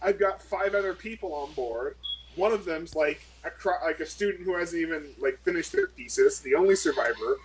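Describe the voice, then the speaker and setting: A man's voice: fairly high pitch, expressive, normal speed, a little hiss or hum, close to an everyday voice, rough recording. A man voicing a rattled, foul-mouthed woman corporate lawyer who is exasperated and defensive. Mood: frantic, exasperated. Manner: swearing, flustered, exasperated.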